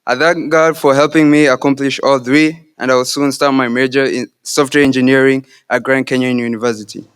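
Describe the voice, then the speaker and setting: A man's voice: mid-range voice, fairly even intonation. A young man from Kenya, a Swahili speaker, giving an earnest, devout graduation speech. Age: young adult. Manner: earnest, devout, measured delivery.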